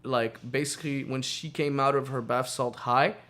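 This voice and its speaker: A man's voice: mid-range voice, steady tone, normal speed, a bit bright. A man co-hosting a casual, opinionated talk show, rambling profanely and posing rhetorical questions. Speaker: podcast co-host.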